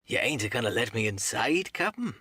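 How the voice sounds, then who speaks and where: A man's voice: mid-range voice, measured pace, a bit bright, no echo. A sly old-fashioned pirate captain from a storybook, acted out by a male reader. He is cheery and wheedling, with folksy, colloquial turns. The sound is dry and close. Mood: complaining. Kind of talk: audiobook character acting.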